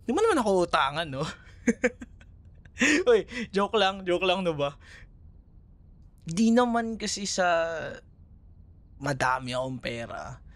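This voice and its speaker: A man's voice: high-pitched, very animated, takes it slowly, long pauses, fairly bright tone, dry recording, heavily acted. A man with a Filipino accent playing a young, caring, teasing ex-boyfriend in a casual, hesitant ASMR roleplay conversation. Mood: playful. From Philippines. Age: young adult.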